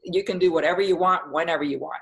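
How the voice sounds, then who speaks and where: Low-pitched woman hosting a casual discussion. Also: fast talker, vocal fry. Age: 50s. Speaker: host of a group discussion. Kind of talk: casual group call moderation.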